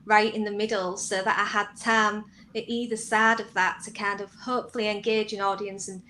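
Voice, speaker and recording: A woman's voice: medium pitch, flat delivery, a little fast, sharp tone. Woman writer interviewed, some background noise. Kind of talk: conversational interview answers.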